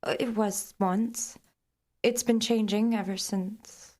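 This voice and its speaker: An actress playing a shaken, evasive woman under questioning in an audio drama, speaking in halting, short answers. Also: medium pitch, fairly even intonation, darkish tone, natural voice. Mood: guarded, uneasy. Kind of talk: naturalistic audio drama acting. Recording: clear background noise.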